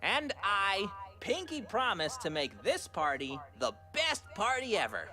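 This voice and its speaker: A woman's voice: mid-range voice, measured pace. Woman playing a wildly swooping, playful cartoon stallion. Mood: gleeful, adoring. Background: music.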